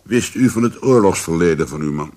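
A middle-aged police commissioner in a radio play, dutiful and official. His voice sits fairly low. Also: animated, a little fast, a little mellow, a little hiss or hum. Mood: businesslike. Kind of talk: radio drama acting.

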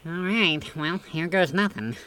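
A man's voice: on the high side, animated, unhurried, sharp tone, slight background noise. A man voicing a naive, eager little apprentice in a comic radio skit. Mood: eager, nervous.

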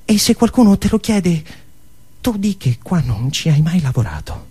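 A man's voice: high voice, very animated, normal speed, dark tone, breathy edge, voice pushed up, full character voice. A man playing an awkward young banker boss in a theatrical monologue, hemming and hawing evasively as he speaks. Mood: nervous, evasive. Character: awkward, hesitant young banker boss, apologetically firing an employee.

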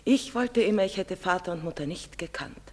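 A proud, sorrowful mother in a stage drama, played by an actress, with a very low and somewhat husky voice. She speaks with solemn, measured emphasis, and a long hall reverb carries every phrase. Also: natural voice. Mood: wistful, tender. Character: proud, sorrowful middle-aged foreign mother, secretive and intense. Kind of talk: German stage-drama acting.

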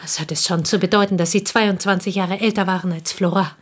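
A woman's voice: on the low side, steady tone, a little fast, lightly acted. A woman playing an earnest, loyal confidante in a radio play, formal and respectful yet firm and reproving. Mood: earnest, admiring.

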